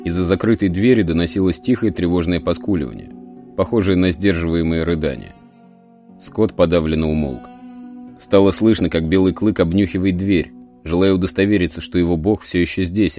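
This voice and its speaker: A man's voice: sits low, a little fast, dark tone, faint music behind. A man narrating a story as a scripted dramatic audiobook. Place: studio.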